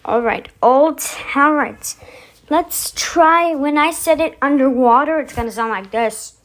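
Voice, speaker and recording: High-pitched, slow-talking female host. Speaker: video host. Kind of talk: casual chat to camera, demonstrating voice effects.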